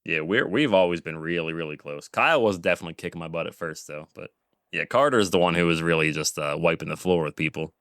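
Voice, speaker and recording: A man in his thirties chatting casually on a podcast, quick to laugh. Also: sits low, fairly bright tone, no room sound, clean studio sound. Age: 30s. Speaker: podcast co-host. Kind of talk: casual chat.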